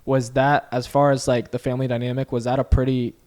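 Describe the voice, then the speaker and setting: A young man hosting a sports podcast, his voice at an everyday middle pitch. He talks loosely and chats along, questioning his guest in a friendly, rambling way. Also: little pitch movement, fast, a little mellow. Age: young adult. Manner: chatty and easygoing, heavy on "like" and "you know". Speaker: podcast host. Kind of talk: casual interview.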